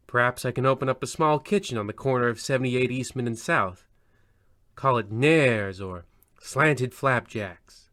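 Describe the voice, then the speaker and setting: A man playing a droll old-time mystery radio narrator, pompous and deadpan, drifting into grumbling asides. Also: medium pitch, moderate pace, dry recording, close to an everyday voice.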